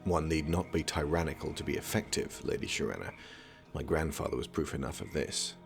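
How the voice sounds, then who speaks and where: A man acting in an audio drama as a young scholarly prince, speaking with dry, measured, formal wit. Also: very deep voice, lively intonation, vocal fry, music in the background, exaggerated voice. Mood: cool, formal.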